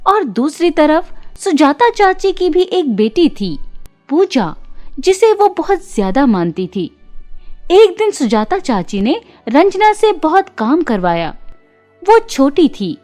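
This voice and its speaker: A woman's voice: high voice, darkish tone. A woman storyteller reading scripted narration for a children's moral tale.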